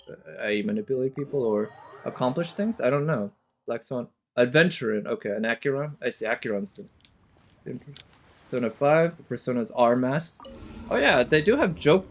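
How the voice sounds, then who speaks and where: A man giving casual, off-the-cuff commentary as a streamer, analytical and hesitant as he thinks aloud. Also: mid-range voice. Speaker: reaction streamer.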